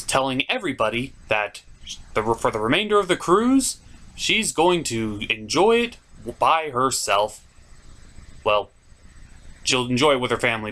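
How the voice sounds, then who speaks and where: Animated man narrating a comedic review. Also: mid-range voice. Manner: theatrical, sarcastic asides. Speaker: cartoon reviewer, show host.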